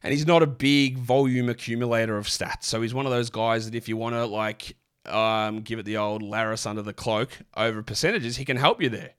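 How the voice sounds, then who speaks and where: Croaky sick man hosting a basketball podcast. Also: medium pitch, steady tone, a little fast, a bit bright. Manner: rambling, chatty, many fillers and restarts. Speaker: fantasy basketball podcast host. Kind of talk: casual solo podcast talk.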